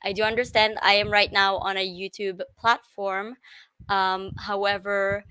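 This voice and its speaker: A woman's voice: medium pitch, level tone, measured pace, bright, forceful tone, smooth, no room sound. A woman with an Israeli accent and Hebrew as her first language, hosting a casual live stream in hesitant English with frequent self-corrections.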